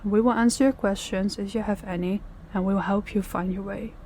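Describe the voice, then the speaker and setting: Woman playing a low-voiced, courteous, probing crime boss. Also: fairly even intonation, slight background noise, close to an everyday voice. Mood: reassuring, coaxing. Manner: measured, polite, persuasive, quietly pressing. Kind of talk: audio roleplay acting addressed to the listener.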